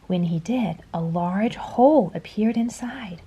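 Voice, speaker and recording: A woman reading a children's story aloud in a dramatic storytelling style, dropping to a hush at phrase endings. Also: mid-range voice, unhurried, breathy edge. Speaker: storybook reader. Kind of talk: expressive children's read-aloud narration.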